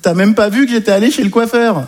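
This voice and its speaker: A man performing conversational stand-up comedy to an audience, animated, with hesitant asides and tag questions. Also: high-pitched, fast talker.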